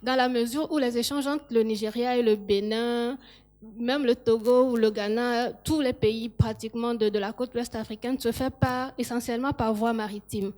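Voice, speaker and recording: A woman on a business panel giving measured, factual remarks on cross-border trade. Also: fairly high pitch, a little mellow. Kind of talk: panel discussion.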